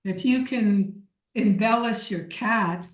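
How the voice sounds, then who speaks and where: A woman with a middle-pitched voice leads an informal online workshop. She takes questions at a slow pace, encouraging and repeating words for emphasis. The room around her is echoey. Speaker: workshop leader. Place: indoor room on a video call.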